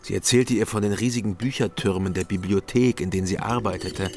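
An actor playing a guarded, sober investigator who narrates a radio crime drama in an understated, matter-of-fact way. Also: male voice, on the low side, fairly even intonation, fairly quick, slight background noise, lightly acted. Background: music.

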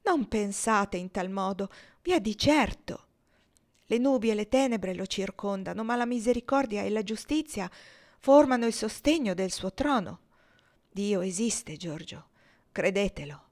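A woman's voice: mid-range voice, darkish tone, voice pushed up, lightly acted. A woman doing a kindly, tearful old man. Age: older. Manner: tearful, soothing.

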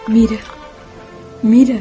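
A woman's voice: a little high, flat delivery, slow, warm tone, soft background music. An actress playing a caring, affectionate woman of the household in a radio drama. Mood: tender, delighted.

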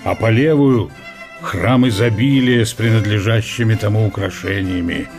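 An actor playing a blunt, weary older scholar recovering from illness in a radio play, speaking in a measured way. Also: male voice, on the low side, darkish tone, soft background music, close to an everyday voice. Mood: reluctant. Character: gruff, weary older scholar, unwell man.

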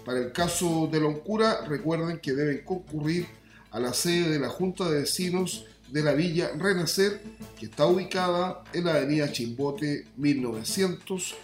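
A Chilean man presenting a scripted radio news bulletin with measured, deliberate enunciation. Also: a little high. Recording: roomy echo, music behind. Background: faint music.

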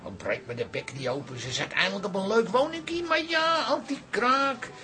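A man's voice: high voice, expressive, moderate pace, sharp tone. A man playing a chatty, grumbling working-class parent in a radio comedy. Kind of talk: radio comedy drama acting.

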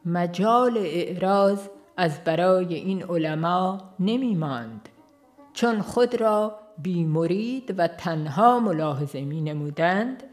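A woman reads a religious book as a formal audiobook narrator, in a solemn, measured way. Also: on the low side, a little mellow. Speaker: audiobook reader.

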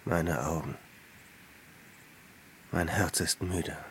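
An actor in a German radio drama playing a weary, brooding religious hermit with a halting manner. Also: male voice, low voice, fairly even intonation, fairly bright tone, slightly rough, exaggerated voice.

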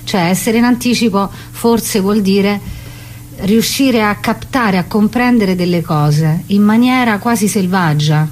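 A woman speaks as a guest author and actress in a radio conversation. Her voice sits at a middle pitch, and she has a reflective, searching way of putting things. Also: unhurried, darkish tone, slight background noise, poor audio. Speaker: interviewed playwright and actress.

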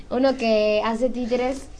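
A woman's voice: fairly high pitch, fairly even intonation, very slow, a little mellow. A young woman with a Rioplatense Argentine accent, chatting casually on radio and searching for her words. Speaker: radio show presenter. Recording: faint background noise.

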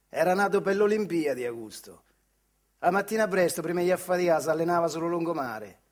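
High-pitched man, Marche accent, theatrical storyteller. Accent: central Italian (Marche). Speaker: actor and storyteller performing a theatrical monologue. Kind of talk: dramatic theatrical storytelling in dialect.

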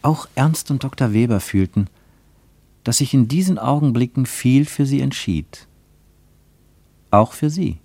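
A man acting as the grave, reflective narrator of a literary radio play, with deliberate dramatic phrasing. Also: medium pitch, expressive, gravelly, dark tone, dry recording. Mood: grave, suspenseful.

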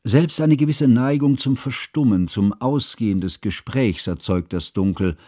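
Man acting a bookish male chronicler, medium pitch, measured literary narration, formal, dry close microphone, radio drama. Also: dark tone, no room sound, natural voice. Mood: measured, reflective. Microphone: close studio microphone.

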